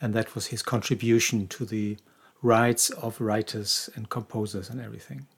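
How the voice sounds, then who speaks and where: A man's voice: lowish voice, flat delivery, moderate pace, gravelly, slightly rough. A man co-hosting a podcast, explaining tango history in a casual, knowledgeable way with frequent restarts. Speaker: podcast co-host.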